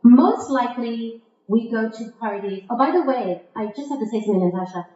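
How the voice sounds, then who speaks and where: A woman speaking to a conference audience like a theatrical storyteller, with rhetorical questions and emphatic pauses, in a very echoey, muffled recording. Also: on the high side, warm tone. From Croatia. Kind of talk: marketing keynote to a live audience. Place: indoor conference stage.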